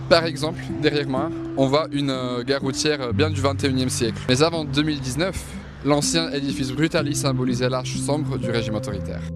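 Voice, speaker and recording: A man giving a short prepared speech outdoors, measured, with a few hesitations. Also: mid-range voice. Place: outdoors by a bus station. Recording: music behind.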